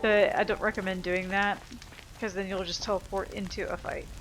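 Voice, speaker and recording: A woman's voice: medium pitch, little pitch movement, moderate pace, rough recording. A woman giving casual commentary while she plays a video game. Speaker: gameplay streamer. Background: loud game music.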